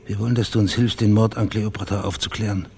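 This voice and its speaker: An actor playing a cool, sardonic private detective who questions in a controlled, probing way in a radio crime drama. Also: male voice, lowish voice, steady tone, fast, vocal fry, a little mellow, faint music behind.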